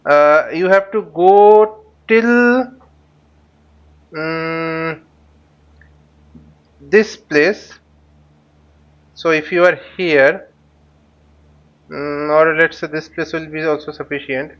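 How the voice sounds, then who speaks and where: A man giving an unscripted flight simulator tutorial, thinking aloud haltingly and often muttering to himself. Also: a little high, steady tone, clear, resonant tone, highs cut off. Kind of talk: unscripted tutorial commentary while flying a simulator.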